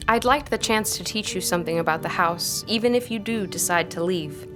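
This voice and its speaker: A woman in an audio drama playing an earnest, guarded young scholarly tutor who speaks in a measured, formal way. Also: on the low side, over music, close to an everyday voice. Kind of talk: audio drama acting with formal first-person narration.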